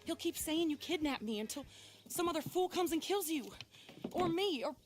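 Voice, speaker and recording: A woman's voice: extremely high pitch, expressive, moderate pace, faint music behind. A woman playing a defiant, dramatic young runaway wife in a western game cutscene. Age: young adult. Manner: dramatic, emphatic. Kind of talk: video game cutscene acting.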